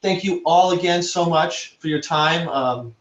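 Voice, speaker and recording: A man who hosts the webinar wraps it up in a friendly, grateful tone and smoothly promotes the program. His voice sits in the middle range and sounds roomy and echoey, with dull, lo-fi audio. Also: fairly high pitch, measured pace. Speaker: webinar host. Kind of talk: closing remarks.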